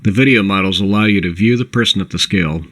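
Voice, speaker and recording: Low-pitched man narrating a corporate presentation. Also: quick pace, fairly bright tone. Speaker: presenter of a company product overview.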